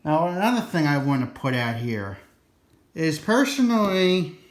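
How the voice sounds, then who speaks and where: A man's voice: a little high, big pitch swings, unhurried, a little hiss or hum. A man giving a casual, unscripted explainer in a folksy, colloquial way, rambling a bit and repeating his warnings for emphasis. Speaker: presenter of an instructional radio video.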